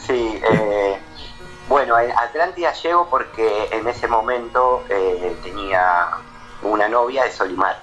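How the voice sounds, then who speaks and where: An Argentine man in his thirties, a painter answering interview questions casually, with hesitant pauses and restarts. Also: on the low side, a bit bright, faint music behind. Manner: hesitant, many fillers and restarts.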